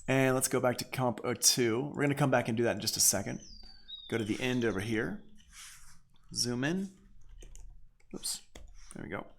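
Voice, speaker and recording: An American man giving an informal software tutorial, thinking aloud as an instructor and punctuating steps with short exclamations. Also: medium pitch, moderate pace, creaky, pauses often, slight background noise. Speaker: audio production instructor.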